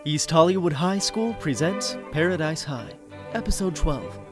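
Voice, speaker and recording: A man playing a chatty insider who narrates a high school comedy, confiding directly in the listener. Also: animated, unhurried. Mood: wry, animated. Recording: music behind the voice.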